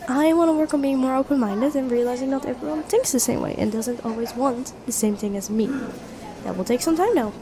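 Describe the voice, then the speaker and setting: Woman acting a high-voiced, sweet best friend in a cafe. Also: brisk. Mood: supportive, thoughtful. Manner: gentle, earnest, encouraging, conversational with soft hesitations. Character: sweet, supportive young woman, best friend with a secret crush. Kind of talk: intimate roleplay audio acting, one-sided dialogue. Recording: cafe chatter behind the voice. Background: coffee shop crowd ambience.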